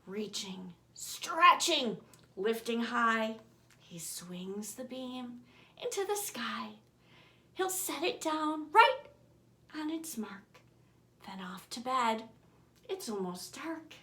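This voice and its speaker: A woman reads a children's bedtime story in a high, lively voice. Her delivery is very slow and theatrical, shaping each rhyme with care and playing with dynamics. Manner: expressive, theatrical, swinging from booming to hushed whispers. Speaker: author reading her own picture book aloud. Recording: roomy, echoing room sound.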